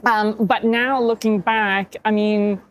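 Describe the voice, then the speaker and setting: A woman's voice: medium pitch, steady tone, takes it slowly, low-quality recording. A woman in her fifties, from Hungary, a health practitioner telling her story candidly as a podcast guest, with plenty of fillers. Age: 50s. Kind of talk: conversational interview.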